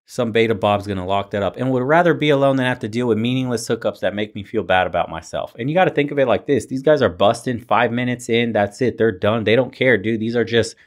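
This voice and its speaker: A man's voice: medium pitch, fast, hardly any pauses. A man reacting to video clips as host, blunt, scolding and sarcastic. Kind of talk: commentary on clips.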